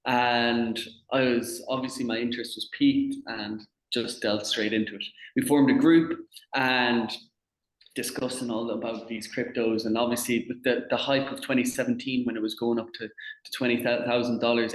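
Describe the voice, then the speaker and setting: A man's voice: mid-range voice, roomy sound, poor audio. A young man from Ireland, a guest in a casual interview, who talks in a chatty way and often restarts and repeats words.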